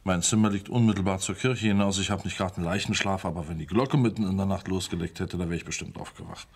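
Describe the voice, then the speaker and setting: An actor playing an unruffled village police inspector in a German radio crime drama, polite and dryly sceptical. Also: male voice, low voice, quick pace, slightly rough.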